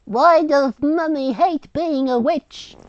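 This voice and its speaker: An extremely high, squeaky girl witch for a comic story. She sounds childish and eager and speaks slowly. The audio is dull and lo-fi. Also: dry recording. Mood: curious, chirpy. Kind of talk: mocking fan fiction character reading.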